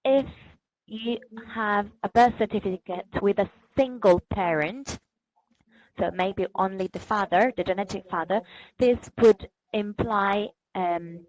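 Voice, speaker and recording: A woman legal expert explaining the law on a webinar, careful and halting, correcting herself. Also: mid-range voice, a little mellow, low-quality recording.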